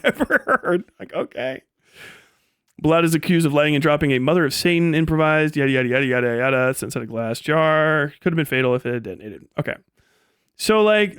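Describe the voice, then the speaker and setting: High-pitched, slangy man livestreaming commentary. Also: fairly bright tone, clear, resonant tone, dry recording, clean studio sound. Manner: heavy swearing, slangy. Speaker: live streamer and political commentator. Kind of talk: casual unscripted livestream commentary.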